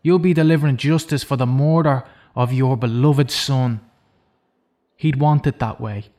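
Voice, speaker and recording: An actor playing an imprisoned killer in an audio drama, self-loathing and intense, speaking with deliberate, persuasive insistence. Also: male voice, mid-range voice, warm tone, close to an everyday voice. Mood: bargaining, insistent.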